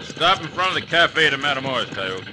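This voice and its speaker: An actor playing a bullying, gloating outlaw gang boss with folksy Western speech in an old-time radio western. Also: male voice, on the high side, sing-song, fairly quick. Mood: brisk, commanding. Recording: noisy old radio broadcast recording.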